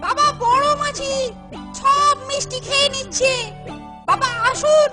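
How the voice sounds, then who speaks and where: A woman's voice: fairly even intonation, a little slow, heavily acted. A woman voicing an anxious, obedient little boy with a childlike manner in a comic cartoon. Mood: urgent. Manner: shrill, protesting, childlike. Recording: loud jingly music behind the voice.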